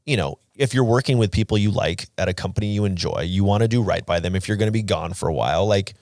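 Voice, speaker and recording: A man's voice: fairly low pitch, fast talker, darkish tone, no echo, clean studio sound. A man in his thirties co-hosting a podcast, talking casually and giving opinions with plenty of "like" thrown in. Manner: conversational, opinionated, peppered with "like". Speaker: podcast co-host.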